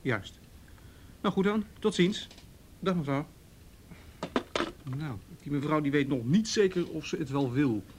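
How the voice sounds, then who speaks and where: A man's voice: fairly high pitch, animated, measured pace, pauses often, background noise. An actor playing a patient, courteous and slightly touchy policeman in a naturalistic radio drama. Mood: brotherly.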